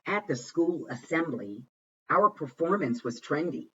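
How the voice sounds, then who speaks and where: A man giving an expressive read-aloud of a rhyming children's picture book, with deliberate, dramatic phrasing. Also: a little high, husky. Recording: muffled, distant microphone.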